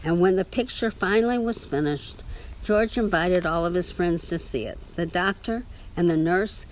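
Low-pitched woman reading a storybook, noisy room. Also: a little mellow. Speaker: storybook reader reading aloud to a child. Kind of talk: read-aloud children's story with casual asides.

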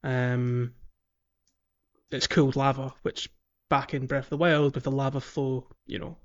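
A man co-hosts a casual gaming podcast. His voice sits at an ordinary middle pitch, and he explains things in a rambling, hesitant way. The recording is slightly dull and lo-fi. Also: fairly even intonation, a little slow. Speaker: podcast host.

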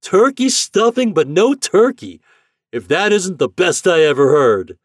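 Storybook riverboat captain, played by a male reader: hearty, roaring, pitched up high, dry sound. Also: sing-song, moderate pace, a little mellow, breathy edge, dry recording. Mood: amused, calling. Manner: roaring, calling out through a trumpet. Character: jolly, booming riverboat captain, hearty and kind.

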